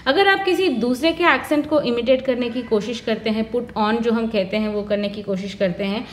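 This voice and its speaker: A woman's voice: fairly high pitch, normal speed, slight background noise. A woman explaining interview advice in an earnest, instructive way, working through her points one by one. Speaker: career advice vlogger.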